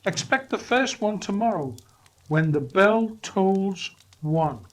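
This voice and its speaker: A sorrowful storybook ghost of a dead businessman, acted by a male reader, pitched high and wailing. He draws his words out slowly and solemnly, full of regret. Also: animated. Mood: grave warning. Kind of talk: dramatic storybook reading.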